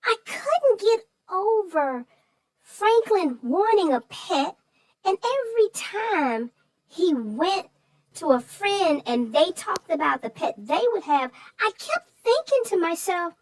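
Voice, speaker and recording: A woman's voice: extremely high pitch, sing-song, slow, no room sound, poor audio. A woman co-hosting a children's story show, talking over the book conversationally with playful, puzzled emphasis.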